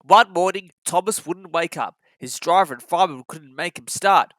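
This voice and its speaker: A narrator reading a children's story aloud in an expressive, scripted style. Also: mid-range voice, moderate pace, no room sound.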